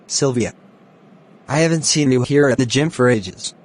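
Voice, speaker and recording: A man playing a courteous, easygoing young film star in a scripted cartoon dialogue for English learners, speaking in a deliberate way. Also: medium pitch, lightly acted. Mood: friendly, casual.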